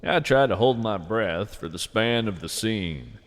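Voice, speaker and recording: A man hosting a scripted podcast, narrating whimsical nature fiction and poetry in an earnest, deliberate, conversational way. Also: fairly low pitch, animated, in a large hall.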